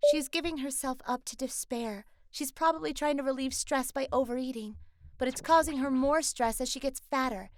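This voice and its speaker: Kind young girlfriend, fairly high voice, acted. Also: moderate pace, lightly acted. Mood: concerned, caring. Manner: concerned, gently earnest. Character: kind, caring young girlfriend, gentle-natured. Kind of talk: dubbed manga story acting. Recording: voice over background music.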